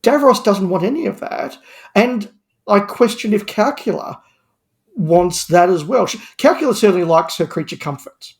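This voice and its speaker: A man with a fairly high voice talks as a podcast panelist. He is emphatic and analytical, often doubling up words as he builds an argument about drama. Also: animated, normal speed. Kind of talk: discussion of audio drama.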